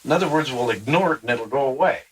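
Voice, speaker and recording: A man's voice: mid-range voice, lively intonation, fast talker, breathy edge. A man in casual conversation, plain-spoken with fillers and asides. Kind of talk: casual chat.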